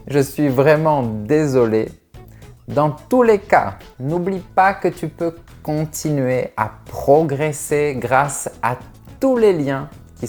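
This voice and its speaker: A man in his thirties from France, a French teacher answering viewers' questions in a clear, carefully articulated, friendly way. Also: medium pitch, a little mellow. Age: 30s. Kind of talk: slow, clear video Q&A for learners.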